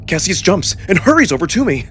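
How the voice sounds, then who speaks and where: A man giving a suspenseful, expressive first-person narration of a dramatic story. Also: high voice, fairly quick, slight background noise. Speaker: narrator and voice performer. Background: background music.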